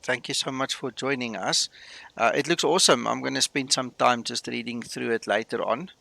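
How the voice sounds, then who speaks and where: Gravelly South African man, casual streamer. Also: medium pitch, normal speed, bright, forceful tone, no echo. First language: Afrikaans. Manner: chatty, greets viewers, many fillers. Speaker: flight sim streamer. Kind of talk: casual live-stream chat.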